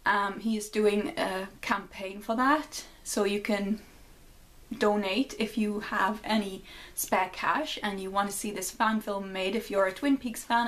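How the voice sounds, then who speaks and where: A young Geordie woman talking straight to her viewers in a loose, chatty way, full of ums and likes. She laughs at her own slips and then settles into reading a short story aloud at a middle pitch. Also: a bit bright. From North East England. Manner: chatty, laughs at herself, says um and like. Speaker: vlogger.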